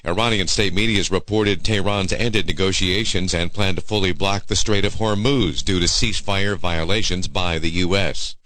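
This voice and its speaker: A man's voice: low voice, fairly even intonation, normal speed, few pauses, a bit bright, no echo, rough recording. A man reading a scripted radio news bulletin as an anchor.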